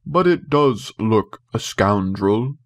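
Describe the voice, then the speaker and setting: A man's voice: fairly low pitch, animated, slow pace. Guy doing a pompous blustering dad from a storybook, boastful, sulks like a child. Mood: thoughtful. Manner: blustering, self-important. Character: pompous, boastful, sulky middle-aged father. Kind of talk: storybook character voice.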